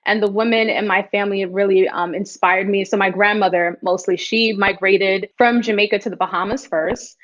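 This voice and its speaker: A woman's voice: medium pitch, fairly even intonation, fairly quick, hardly any pauses, a bit bright. Female founder from New York City, earnest long answers, muffled lo-fi line. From New York City, the Bronx. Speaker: startup founder and guest. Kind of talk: podcast interview.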